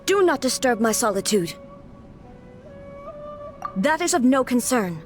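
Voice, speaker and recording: A woman's voice: a little high, big pitch swings, measured pace. A woman playing a cold, imperious ruler deity in a video game, with a curt, formal, commanding delivery. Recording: clear game music behind the voice.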